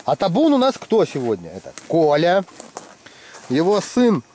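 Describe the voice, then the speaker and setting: A man's voice: on the high side, a little slow, slight background noise. A middle-aged man chatting casually and teasing his friends while ice fishing in a tent. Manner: joking, teasing friends, lively. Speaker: fishing vlogger. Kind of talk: casual commentary.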